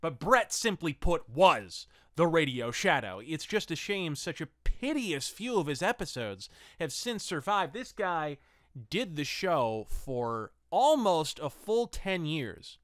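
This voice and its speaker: A man's voice: fairly high pitch, big pitch swings, slow pace, a bit bright, no room sound, studio quality. A man hosting a review podcast, enthusiastic and semi-scripted, mixing ornate, wordy phrasing with blunt asides.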